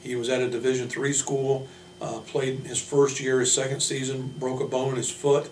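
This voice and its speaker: A man speaking as a basketball coach, giving informal player introductions in a measured, hesitant way with frequent pauses. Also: medium pitch, little pitch movement. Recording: roomy, distant mic, faint hum.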